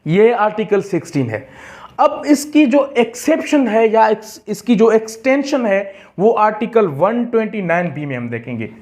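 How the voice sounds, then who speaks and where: A man with a Pakistani accent giving an explanatory law lecture, deliberate and repetitive, halting when reading out in English. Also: sits high, very animated, a little mellow. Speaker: law teacher. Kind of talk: explanatory lecture mixing Hindi-Urdu and English.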